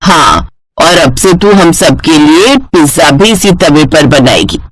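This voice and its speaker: A woman's voice: sits low, big pitch swings, takes it slowly, soft background music, exaggerated voice. A woman voicing a bossy middle-aged mother-in-law in a cartoon, commanding and matter-of-fact. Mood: bossy, pleased.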